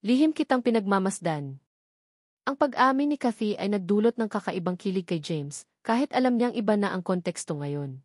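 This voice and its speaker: A woman's voice: medium pitch, lively intonation, a little fast, no echo, clean studio sound. A woman with a Filipino accent reading a scripted romantic story as a dramatic audiobook narrator.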